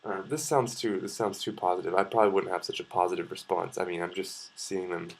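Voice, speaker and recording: A man's voice: low-pitched, fairly even intonation, fairly quick, vocal fry, slightly rough. A young man with a Canadian accent giving his opinions in a casual vlog, talking in a hesitant, rambling way and often interrupting himself. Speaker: video blogger.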